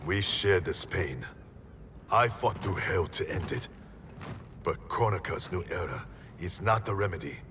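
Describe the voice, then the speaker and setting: An actor playing a vengeful, grave ninja clan master in a video game, speaking formally and solemnly. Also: male voice, low voice, animated, measured pace, long pauses, background noise. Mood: grave, intense. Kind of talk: dramatic video game cutscene acting. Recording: loud music behind the voice.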